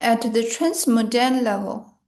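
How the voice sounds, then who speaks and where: Woman, meditation narrator, fairly high pitch, very slow pace, scripted, measured phrasing. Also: fairly even intonation, darkish tone. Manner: measured, short phrases with pauses. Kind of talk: guided meditation, scripted reading.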